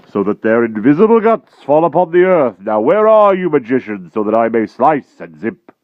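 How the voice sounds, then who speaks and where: A man voicing a huge brutal male giant who makes slow, loud, sneering threats. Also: medium pitch, sing-song, dry recording, narrow, tinny audio, voice pushed up, lightly acted. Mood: gloating.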